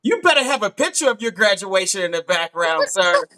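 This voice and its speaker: A man's voice: sits high, very animated, brisk, bright, forceful tone. A man hosting a live online dating show over a video call, teasing his guest and laughing often.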